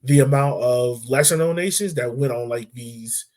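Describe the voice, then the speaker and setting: A man with an average-pitched voice hosts a casual sports podcast. He talks informally, thinking aloud and correcting himself as he goes through his points. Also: moderate pace, darkish tone. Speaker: podcast host discussing football. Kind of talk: casual conversational sports chat.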